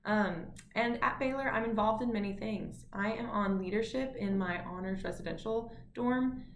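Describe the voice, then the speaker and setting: A woman's voice: medium pitch, moderate pace, muffled recording. Earnest young woman in an echoey room. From Fort Worth, Texas. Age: young adult. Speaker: student. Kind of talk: casual video chat to camera. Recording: echoey room, faint noise.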